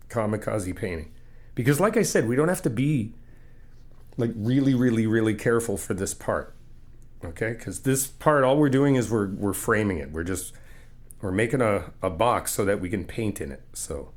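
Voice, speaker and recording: A man's voice: lowish voice, normal speed. Encouraging man in an ASMR roleplay. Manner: encouraging and playful, laughs often; calm step-by-step explaining. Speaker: ASMR roleplay creator playing a friend. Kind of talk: ASMR friend roleplay, talking to the listener.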